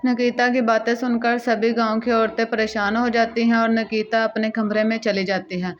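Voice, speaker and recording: Even, high-voiced woman storyteller, dull sound. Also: normal speed, soft background music. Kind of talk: scripted cartoon story narration.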